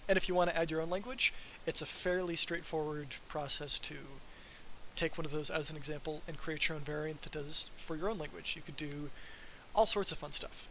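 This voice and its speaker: Male software presenter, forceful bright tone, informal and self-deprecating, faint hiss on the recording. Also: fairly high pitch, steady tone, fairly quick, rough recording. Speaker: software developer presenting a live demo. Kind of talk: informal technical livestream presentation.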